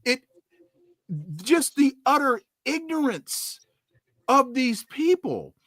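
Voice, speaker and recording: A male podcast host who speaks slowly and deliberately. His pitch moves a lot as he fires off indignant rhetorical questions. The sound is dry and close to the mic. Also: lively intonation, fairly bright tone, no room sound. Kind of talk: podcast interview.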